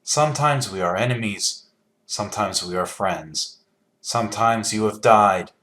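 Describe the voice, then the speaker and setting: A solemn, low-voiced cryptic figure. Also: male voice, unhurried, a bit bright. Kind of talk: video game character dialogue.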